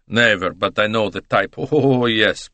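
A man's voice: lowish voice, measured pace, bright, forceful tone, no echo. A man voicing a voluble, boastful, beaming salesman in an audiobook. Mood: knowing. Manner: gushing, eager, philosophical.

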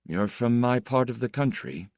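A man's voice: medium pitch, animated, normal speed, vocal fry, a little mellow, dry recording, pitched above the performer's own voice. Dry, curt, aloof young poet, acted by a man. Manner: clipped, scornful. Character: curt, disagreeable, aloof male poet in his twenties. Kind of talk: audiobook character dialogue.

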